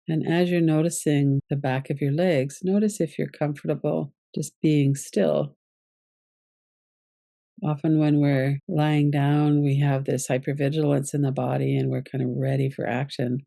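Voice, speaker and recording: Mellow, creaky-voiced woman guiding relaxation. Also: deep voice, no echo, studio quality. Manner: calm, gentle, unhurried instruction. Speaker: yoga nidra teacher. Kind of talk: guided relaxation meditation.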